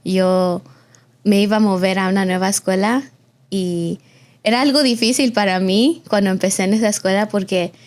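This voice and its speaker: A woman's voice: mid-range voice, steady tone, normal speed, a little mellow. A woman in her early twenties from Austin, Texas, hosting a conversational interview podcast in an effusive manner, with the odd English filler word. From Austin, Texas, of a Mexican family. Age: early 20s. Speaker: podcast host.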